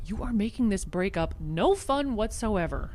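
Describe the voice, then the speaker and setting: A woman's voice: medium pitch, lively intonation, normal speed, a little mellow, slight background noise, natural voice. A woman playing a talkative, nosy small-town friend who fusses and presses in an audio drama. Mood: fussy, indignant.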